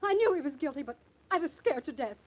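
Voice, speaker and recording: An actress with an American accent playing a proud, anxious small-town widow in a melodramatic old-time radio drama style. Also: female voice, high-pitched, very animated, fast. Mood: composed, confessional. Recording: muffled, noisy old radio recording.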